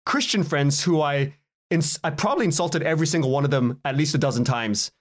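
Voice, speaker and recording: A man speaking casually as a podcast guest, in a halting, rambling way, often repeating words and cutting himself off. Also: on the high side, lively intonation. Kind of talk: casual interview conversation.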